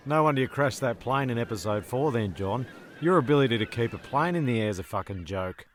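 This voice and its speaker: A man's voice: medium pitch, expressive, brisk, a little hiss or hum, close to an everyday voice. A man playing a bossy, mocking castaway in a comedy radio play, with a sardonic delivery.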